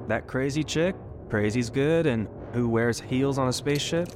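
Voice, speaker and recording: A man's voice: mid-range voice, moderate pace, over music, close to an everyday voice. A man playing a cynical, needling spaceship crewman in an audio drama, crude and slangy. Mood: needling, accusing. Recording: noisy ambient background.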